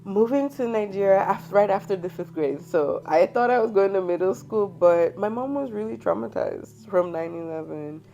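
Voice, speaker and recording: A woman's voice: medium pitch, normal speed, vocal fry, hardly any pauses. A woman in her thirties from New York, hosting an interview over a video call and telling stories with lots of laughter. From New York, United States. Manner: animated storytelling, laughing often. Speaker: host. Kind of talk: casual interview chat.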